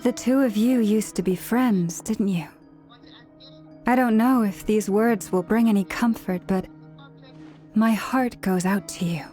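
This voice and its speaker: Gentle priestess, keeper of lore female actor, mellow mid-pitched voice, measured and formal, anime game. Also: faint music behind, close to an everyday voice. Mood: sympathetic.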